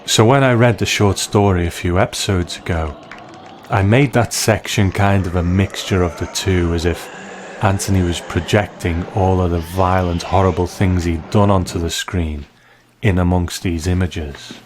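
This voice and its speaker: A man hosting a scripted episode commentary, speaking in a measured, appreciative way. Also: sits low, fairly even intonation, noisy background. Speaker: host of a TV episode commentary show.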